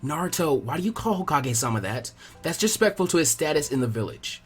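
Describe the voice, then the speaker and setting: A man reads as a prim young girl trainee in a story, disapproving and proper. The voice is lightly acted and a bit husky, with music behind it. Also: a little high, big pitch swings, fast. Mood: chiding.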